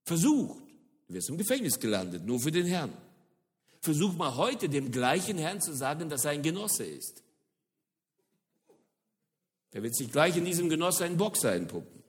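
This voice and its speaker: A middle-aged man from the former Soviet Union gives a lively lecture-style sermon on church mission. He repeats words for emphasis and hesitates often. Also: fairly high pitch, fairly quick. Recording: long hall reverb.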